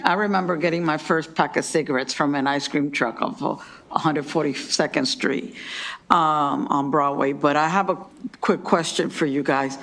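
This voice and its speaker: A woman legislator asking a witness a quick question, casual and friendly. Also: low voice, a bit bright. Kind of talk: questioning at a hearing.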